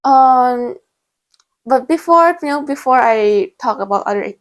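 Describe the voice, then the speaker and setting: A young woman from Thailand, a guest in an interview, answering in a thoughtful, measured way with soft fillers. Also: high voice, animated. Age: young adult. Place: indoors in China.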